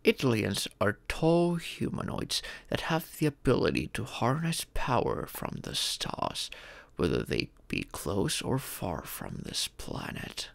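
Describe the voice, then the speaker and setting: A man voicing a wise, fatherly male dragon who patiently teaches in a measured, formal storytelling manner for a roleplay audio. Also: medium pitch, vocal fry, dry recording, exaggerated voice. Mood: patient, instructive.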